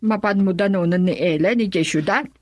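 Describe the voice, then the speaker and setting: An actress as a worried older female relative in a radio drama. Her voice is husky and middling in pitch, recorded dry, with an inquiring manner. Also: brisk, a little mellow, no room sound, close to an everyday voice.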